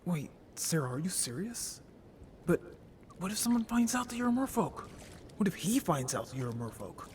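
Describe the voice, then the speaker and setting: A man's voice: sits high, sing-song, moderate pace, a little mellow, a little hiss or hum. A man voicing a naive, curious young merfolk in an audio drama, talking casually and expressively with earnest cheek. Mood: surprised, worried. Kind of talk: scripted audio drama roleplay.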